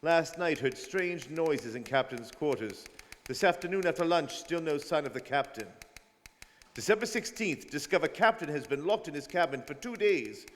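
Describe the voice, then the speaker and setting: A man's voice: fairly high pitch, expressive, normal speed, natural voice. A man playing a stern, dogged detective who narrates a radio drama in an emphatic, clipped style. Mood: suspicious, reporting. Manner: emphatic, telegraphic. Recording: hall reverb, faint noise, community studio.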